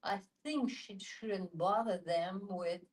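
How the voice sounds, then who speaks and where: A woman's voice: medium pitch, takes it slowly. A woman with a French accent speaking frankly and matter-of-factly in a group discussion. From France.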